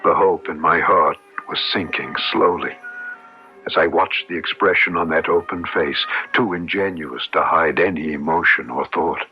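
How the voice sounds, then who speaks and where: A deep, haunted radio-drama man. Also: moderate pace, slightly rough. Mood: grave, troubled. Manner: grave, formal, literary phrasing. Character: haunted, earnest man trapped in a transformed body. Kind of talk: 1970s radio drama acting, first-person narration and dialogue. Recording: dull old radio broadcast recording. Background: dramatic music.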